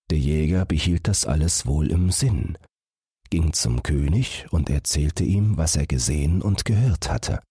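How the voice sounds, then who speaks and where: Man, deep breathy voice, expressive intonation, slow bedtime storytelling, audiobook narration. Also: no echo, studio quality.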